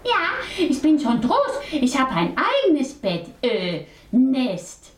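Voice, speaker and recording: A woman voicing an excitable, proud little baby parrot with childish mispronunciations in a children's puppet play. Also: takes it slowly, exaggerated voice. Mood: eager, proud. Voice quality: childlike, high-pitched voice. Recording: echoey room, distant mic, faint noise.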